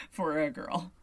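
A young adult woman with a deep voice, hosting a podcast and telling a story in a loose, chatty way full of "like" asides. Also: breathy edge. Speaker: podcast host. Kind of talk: casual storytelling chat.

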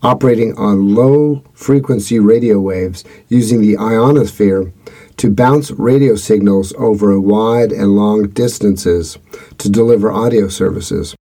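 A man hosting a podcast, reading a scripted explanation in an earnest, measured way. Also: fairly low pitch, mellow, breathy edge. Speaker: podcast host.

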